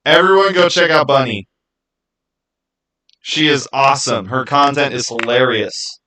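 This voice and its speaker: A man's voice: medium pitch, measured pace, pushed and bright. A man hosting a casual livestream, rambling and thinking aloud as he answers viewers by name. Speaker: livestream host.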